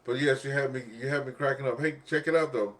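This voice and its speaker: A man's voice: medium pitch, fairly even intonation, fast talker, fairly bright tone. A man hosting an amateur radio net in a casual, rambling, chatty way, often repeating himself. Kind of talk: casual ham radio chat.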